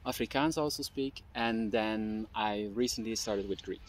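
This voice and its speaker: A man in his thirties from Belgium with a Flemish accent, a native Dutch speaker, answering interview questions in a relaxed way with many fillers and restarts, seated at an outdoor picnic table. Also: lowish voice, moderate pace. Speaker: guest, language teacher.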